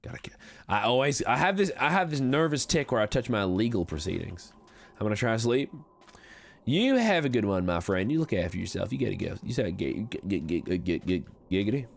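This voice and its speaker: A man's voice: lowish voice, very animated, fairly quick, vocal fry, full character voice. An Australian man voicing a friendly, polite cowboy with a put-on American Southern accent, chatting casually and folksily as he streams a game. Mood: easygoing, welcoming. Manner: folksy, courteous, rambling. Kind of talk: casual livestream chat over gameplay.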